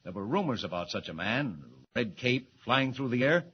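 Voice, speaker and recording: A man playing a brisk, lively newspaper editor. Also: medium pitch, a little hiss or hum, close to an everyday voice. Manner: brisk, bossy, chuckling. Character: brisk middle-aged male newspaper editor. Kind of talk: 1940s radio acting. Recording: lo-fi 1940s radio transcription.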